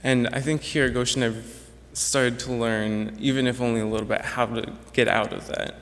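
A man's voice: medium pitch, little pitch movement, normal speed, fairly bright tone. Earnest young man in a reverberant hall. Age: young adult. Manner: earnest, reflective, with some restarts. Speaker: graduating student speaker. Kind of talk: prepared speech to an audience. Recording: echoey hall with long reverb.

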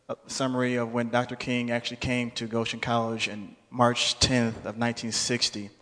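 A man's voice: medium pitch, a bit bright. Man addressing a reverberant hall, preacherly. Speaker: speaker introducing a program. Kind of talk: public address to an audience.

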